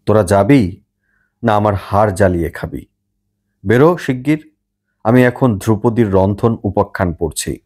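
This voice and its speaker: A stern old grandmother from a storybook, acted out by a male reader. She grumbles and scolds, suspicious of everyone around her, yet gruffly fond underneath. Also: fairly low pitch, unhurried, long pauses, a little mellow. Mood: exasperated.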